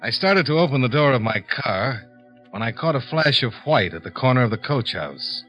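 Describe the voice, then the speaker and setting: An actor playing a cool, probing insurance investigator in a 1950s radio detective drama, clipped and wry. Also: male voice, medium pitch, sing-song, moderate pace, a bit bright, soft background music. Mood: terse narrating.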